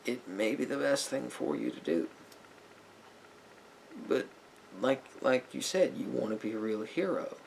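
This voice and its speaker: A man playing a sympathetic therapist in an ASMR roleplay, giving gentle advice in a halting, repetitive, hedging way. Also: medium pitch, sing-song, gravelly, a little hiss or hum. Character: calm, sympathetic male therapist counselling a client.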